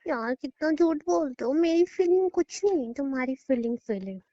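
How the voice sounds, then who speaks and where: A woman's voice: high voice, animated, normal speed, warm tone. A young woman bantering playfully with her boyfriend in a casual phone call. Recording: dull lo-fi call recording.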